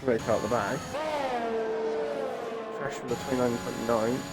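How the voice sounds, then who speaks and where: A man's voice: medium pitch, steady tone, unhurried, loud music behind the voice. A man with a cough and a sore throat from a cold, giving rambling, chatty live commentary on an online racing league and often breaking off mid-thought. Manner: rambling, chatty, self-interrupting. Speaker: race commentator.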